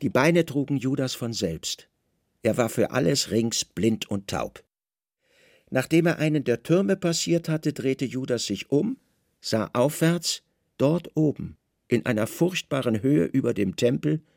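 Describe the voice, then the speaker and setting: A male storyteller for a radio play with a gravelly, creaky edge to his voice. He narrates literary prose deliberately, in short dramatic phrases, recorded very dry and close. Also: mid-range voice, expressive, a little mellow, dry recording.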